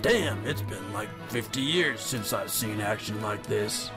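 A man voicing a gruff, foul-mouthed older soldier in a cartoon comic, with a gravelly voice. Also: fairly low pitch, lively intonation, a little slow, music in the background. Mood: gruff, irritated.

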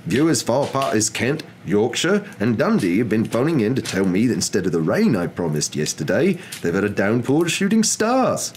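A man's voice: mid-range voice, sing-song, normal speed, few pauses, a little mellow, slight background noise, voice pushed up, lightly acted. A man voicing a chirpy television newsreader with polished broadcast patter, as part of a story reading. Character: chirpy male television newscaster and weatherman. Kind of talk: news broadcast delivery.

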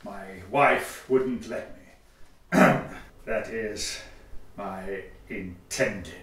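Man acting a fussy, slow-talking gentleman. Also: lowish voice. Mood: prim, curious. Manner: fussy, drawn-out, formal. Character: fussy, prickly Victorian gentleman lodger, excitable and self-important. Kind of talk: stagey comic farce acting for an audio play. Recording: very echoey room, distant from microphone.

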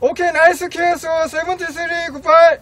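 A man's voice: very high-pitched, flat delivery, a little fast. A middle-aged man from South Korea making ham radio calls in an open field, reciting call signs in clipped, formulaic phrases. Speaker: amateur radio operator. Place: open farm field outdoors. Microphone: camera a few metres away. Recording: outdoor field with noisy radio sound. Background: loud ambient and radio noise.

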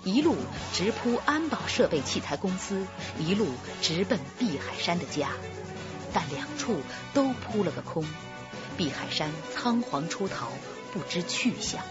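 A woman's voice: mid-range voice, very animated, unhurried. A woman narrating a crime drama with dramatic, scripted delivery. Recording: slightly dull recording over music. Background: music.

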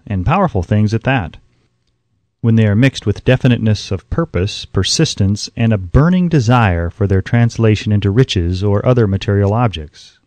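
A man reading an audiobook as scripted narration, in a measured, emphatic way, close to the microphone. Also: on the low side, a little mellow, no echo.

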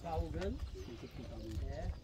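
A man's voice: a little high, slow. A man bantering casually in a cattle yard. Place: open-air cattle pens. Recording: very noisy outdoor livestock yard.

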